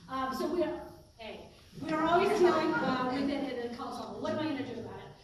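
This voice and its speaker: A woman's voice: high-pitched, fast talker. A woman running for Congress, answering audience questions at a town hall in an earnest, conversational way, turning emphatic when she defends herself. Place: community town hall meeting room. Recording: echoey hall, voice from far off, noisy.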